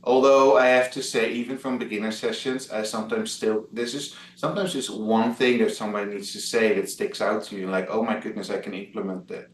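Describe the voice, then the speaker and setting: A man's voice: lowish voice, steady tone, a little fast, few pauses, reverberant. A man from the Netherlands with a Dutch accent, a guest chatting over a video call, hesitant with many fillers and restarts. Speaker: guest, travel blogger.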